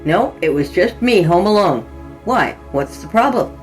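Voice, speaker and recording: A woman voicing a defiant deadbeat man in a dark comedy story, dismissive, evasive and sardonic. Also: on the low side, animated, a little slow, faint music behind, poor audio. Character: defiant, scornful deadbeat man dodging accusations.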